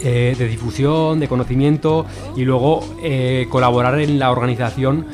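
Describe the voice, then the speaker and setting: A man, an activist guest in a radio interview, speaking earnestly with halting restarts. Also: medium pitch, fairly even intonation, music in the background.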